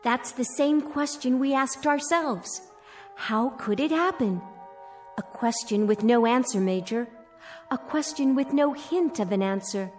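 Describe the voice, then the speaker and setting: Casting: calm, grave ballerina for radio drama; enigmatic, measured, raised pitch. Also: female voice, soft background music. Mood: grave, resigned.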